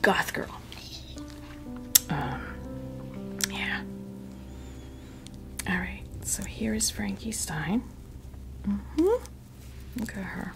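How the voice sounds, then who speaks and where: A woman hosting an ASMR doll unboxing, chatting casually and softly to camera, gushing over small details in an unhurried way. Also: mid-range voice, very animated, gravelly, music in the background.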